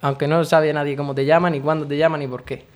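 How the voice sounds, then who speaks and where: Young man, late teens, earnest, devout, talks at length, slightly high-pitched. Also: little pitch movement, fast, dull audio. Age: young adult. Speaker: guest, brotherhood member. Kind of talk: radio interview.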